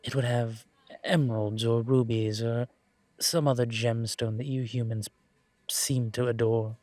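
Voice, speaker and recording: A man playing a suave incubus demon professor in a roleplay audio drama, teasing and protective, with a wry, measured delivery. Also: mid-range voice, fairly even intonation, a little mellow, no room sound, natural voice. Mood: teasing, charmed.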